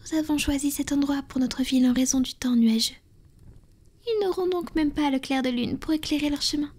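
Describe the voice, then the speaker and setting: A woman voicing a tender, affectionate female vampire in a soft, breathy, intimate whisper for an ASMR roleplay. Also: sits high, fairly quick, close to an everyday voice. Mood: calm, confident.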